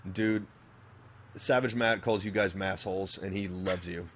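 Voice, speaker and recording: A man's voice: deep voice, a little slow, slight background noise. A man from Northern Virginia with a general American accent, co-hosting a casual podcast and joking crudely with his co-host. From Northern Virginia, USA. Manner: crude, swearing, animated and joking. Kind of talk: casual chat.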